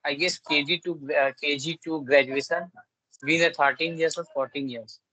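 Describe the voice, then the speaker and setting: A man, a native Hindi or Bengali speaker, chatting in English to practice, full of restarts and pauses. His voice sits fairly high. The sound is close and dry, with no room around it. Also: fairly even intonation, pushed and bright, no echo, low-quality recording. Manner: halting, many fillers and restarts. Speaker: conversation partner. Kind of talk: casual English practice conversation.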